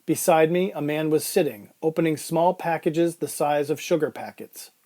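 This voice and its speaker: A man playing an uneasy, strapped-down patient, telling his surreal ordeal as brooding, deliberate first-person narration in an audio drama. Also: a little high, dark tone, natural voice.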